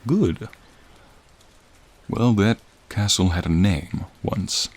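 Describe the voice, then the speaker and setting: Man voicing a deep, gravelly, gentle old dragon. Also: full character voice. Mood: reflective. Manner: slow, reflective, gently formal. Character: gentle, lonely, centuries-old male dragon, friendly and wistful. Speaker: ASMR roleplay narrator. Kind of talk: soothing ASMR roleplay storytelling. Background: rain and raindrops.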